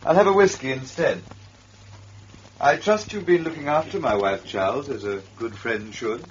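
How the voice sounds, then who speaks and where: An actor playing a self-possessed, sardonic ex-convict husband with a cool, insinuating manner in old radio drama style. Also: male voice, medium pitch, normal speed, a little hiss or hum, natural voice. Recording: muffled old radio recording.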